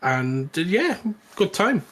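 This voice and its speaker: A man's voice: sits high, very animated, slow, fairly bright tone. A man co-hosting a podcast in a casual, conversational chat. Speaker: podcast co-host.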